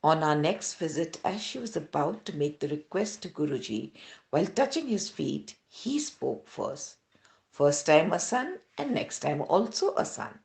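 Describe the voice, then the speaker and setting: A woman with an Indian accent reading a devotional testimony aloud as scripted narration, in an expressive storytelling manner. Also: low-pitched, measured pace, creaky.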